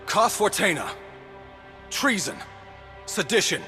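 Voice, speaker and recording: High, slow, haughty enforcer. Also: male voice, sing-song, sharp tone, heavily acted. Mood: accusing. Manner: clipped, deliberate pronouncements. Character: stern, haughty male enforcer pronouncing charges. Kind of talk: scripted video game cutscene acting. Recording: long hall reverb, faint music.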